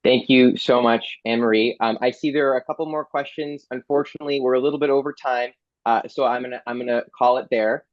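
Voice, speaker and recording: A man's voice: mid-range voice, steady tone, brisk, no room sound. A man moderates a panel in a mid-range voice, hesitant and full of fillers and restarts. The recording is dry and a bit dull, like a lo-fi online call.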